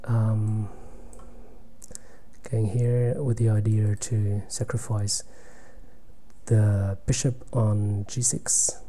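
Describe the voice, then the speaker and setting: Soft-spoken man commentating chess. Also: lowish voice, little pitch movement, a little hiss or hum. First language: German. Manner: thinking aloud, halting, drops to near-whisper while calculating. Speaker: chess player commentating own game. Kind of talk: live commentary while playing online blitz chess.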